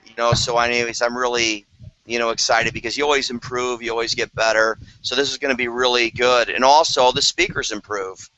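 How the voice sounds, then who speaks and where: A man's voice: mid-range voice, flat delivery, fairly quick, bright, forceful tone. A man chatting enthusiastically on a group video call, rambling with fillers. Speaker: business team leader on a video call. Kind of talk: casual group video chat. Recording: dry, slightly dull call audio.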